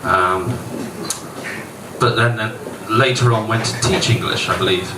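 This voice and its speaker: A man with a lowish voice hosts a live event as MC. He speaks slowly and haltingly, pausing often, and is heard from a distance over very loud venue noise. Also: roomy sound. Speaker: MC introducing a guest author. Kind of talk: informal live introduction. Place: noisy bar venue, voice heard from afar. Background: loud venue ambience.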